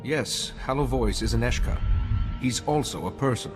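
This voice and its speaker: An actor playing a calm, principled Jedi hero in a game cutscene, speaking in a measured, earnest way. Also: male voice, mid-range voice, music in the background, lightly acted. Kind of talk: video game cutscene acting.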